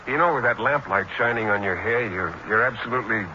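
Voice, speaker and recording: An actor playing an earnest, bashful and hesitant gentleman in a 1940s radio sitcom. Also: lowish voice, fast. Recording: muffled 1940s radio with background noise.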